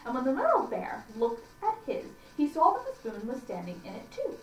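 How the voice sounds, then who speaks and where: A woman reading a children's story aloud for a library audience, deliberately and with small stumbles. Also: high-pitched, wildly swooping pitch. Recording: echoey room, distant mic, faint noise.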